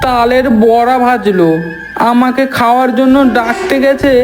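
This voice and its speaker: Cartoon husband, played by a man: easygoing, affectionate, high-pitched. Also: steady tone, normal speed, over music. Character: cheerful village husband, young adult. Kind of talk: cartoon voice acting.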